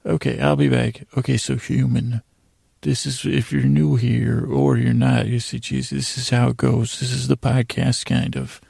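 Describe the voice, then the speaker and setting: A man hosting a sleep podcast in a rambling bedtime monologue, halting and meandering, repeating himself and trailing off mid-thought. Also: medium pitch, flat delivery, vocal fry, warm tone, husky, no echo, clean studio sound.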